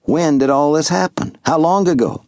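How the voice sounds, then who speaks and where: A man's voice: a little high, moderate pace, darkish tone, no room sound, clean studio sound, pitched above the performer's own voice, lightly acted. A man voicing an anxious, grieving young man who asks urgent questions about his family.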